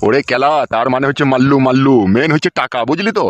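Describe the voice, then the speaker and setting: A man's voice: mid-range voice, quick pace, dry recording, lo-fi sound, close to an everyday voice. A man voicing a greedy, foul-mouthed village uncle in a comedy cartoon, scolding in crude slang. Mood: bossy scheming. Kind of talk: Bengali comedy cartoon dubbing.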